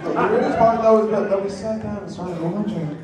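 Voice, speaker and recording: A man's voice: high voice, fairly quick. A man chatting and joking casually as a convention panelist. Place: large hotel convention panel room. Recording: very echoey hall, far off, crowd. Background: audience crowd.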